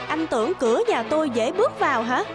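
A woman's voice: high voice, animated, quick pace, over music. A woman playing a haughty, scheming young executive in a cartoon, with a scornful, mocking manner. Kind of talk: dubbed cartoon acting.

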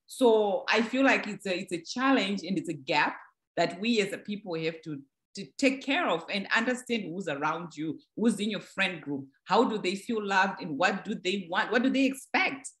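A woman's voice: mid-range voice, lively intonation, moderate pace, fairly bright tone. A woman moderating a church discussion, speaking reflectively in a conversational, teaching way. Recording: echoey room.

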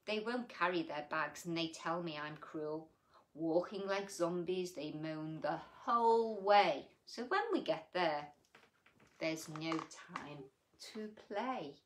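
A woman voicing a tired, put-upon mother of unruly children in rhyming, measured picture-book narration. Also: fairly low pitch. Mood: weary complaint. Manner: measured, expressive, rhythmic.

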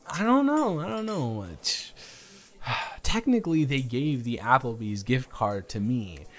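A man's voice: medium pitch, lively intonation, a little slow, natural voice. A young man playing a shy, smitten date in an improvised romantic audio roleplay, hesitant and stammering, both teasing and earnest. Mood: mock indignant.

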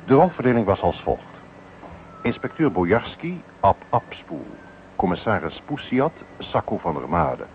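A man's voice: fairly low pitch, big pitch swings, moderate pace, gravelly. A man working as a radio announcer, formally reading closing credits. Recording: tinny old radio recording, faint noise.

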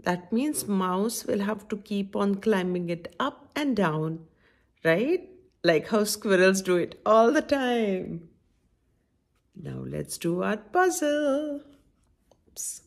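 A woman's voice: medium pitch, slow. A middle-aged woman hosting a children's story time, reading a picture book aloud with coaxing, sing-song commentary and questions. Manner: animated, sing-song, coaxing teacher-like delivery. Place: small indoor room at home.

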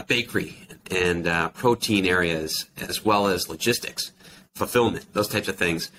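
A man's voice: sits low, fairly bright tone. A man in his forties with an American accent, explaining things conversationally as an industry guest on a video call, piecing his thoughts together. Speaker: industry expert guest, account manager. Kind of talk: casual explanatory interview talk. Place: small plain indoor office. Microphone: video call computer microphone. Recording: clear background noise on video call.

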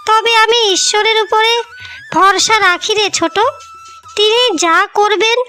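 A woman plays a caring young elder sister in a cartoon story. She speaks in a very high, sharp-edged voice, tenderly coaxing and fretting, with music underneath. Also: a little slow, exaggerated voice. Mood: worried. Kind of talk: Bengali cartoon fairy-tale dialogue. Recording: music bed behind the voice.

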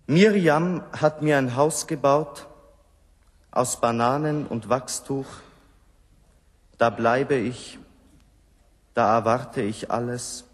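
A man recites poetry slowly, setting out each line by itself. His delivery is measured, and the sound carries a long hall reverb. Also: mid-range voice, breathy edge. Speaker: reader of poetry.

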